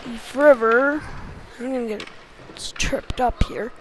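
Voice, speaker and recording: High-voiced woman calling youth hockey. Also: unhurried. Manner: short clipped phrases, casual and colloquial. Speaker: play-by-play commentator. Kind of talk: informal live youth ice hockey commentary. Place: sports venue, ice rink. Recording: amateur livestream with faint background noise.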